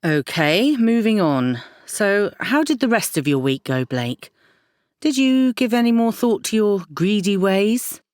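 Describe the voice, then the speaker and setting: A woman playing a stern counsellor in an audio drama, measured and pointedly questioning. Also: medium pitch, very animated, darkish tone, no room sound. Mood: cool, probing. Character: stern, probing female counsellor challenging a client.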